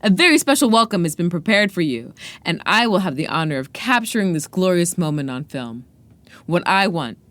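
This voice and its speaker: A woman's voice: on the low side, sing-song, fairly quick, a bit bright, dry recording. A woman voicing a commanding, glamorous female propagandist in a novel, exhorting listeners in a grandiose, dramatic reading style. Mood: grand welcome. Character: tall, glamorous, commanding young woman in army fatigues, fervent propagandist.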